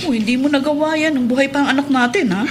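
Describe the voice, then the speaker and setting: An actress playing a nagging, resentful middle-aged Filipino wife in a radio drama, sulky and accusing. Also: female voice, high voice, quick pace, a little hiss or hum, lightly acted.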